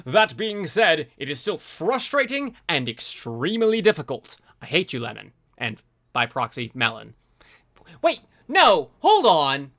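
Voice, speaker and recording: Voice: mid-range voice, huge pitch swings, measured pace, fairly bright tone. A streamer reading a review aloud in a casual live commentary style, breaking off for informal, sweary asides.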